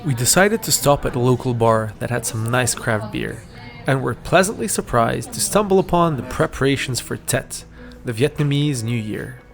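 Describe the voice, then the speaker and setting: Gravelly man narrating a travel vlog. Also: mid-range voice, expressive, moderate pace, a bit bright, faint music behind. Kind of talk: scripted voice-over narration.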